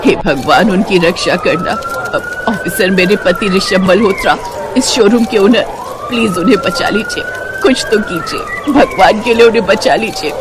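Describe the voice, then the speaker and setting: A woman's voice: on the high side, huge pitch swings, a little slow, noisy background. A woman voicing an anxious, practical middle-aged wife and mother in an audio story, earnest and urgent. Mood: frantic, pleading.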